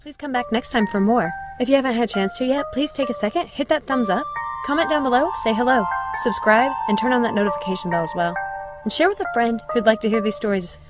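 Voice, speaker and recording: A woman's voice: a little high, fast talker, over loud music. A woman narrating a novel aloud as an audiobook reader. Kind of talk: story reading.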